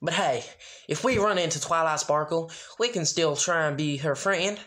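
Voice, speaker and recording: A plain-spoken country pony woman from a cartoon as a fan reader plays her. The delivery is steady and reassuring, a little above the reader's narration. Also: mid-range voice, moderate pace, pitched above the performer's own voice, natural voice.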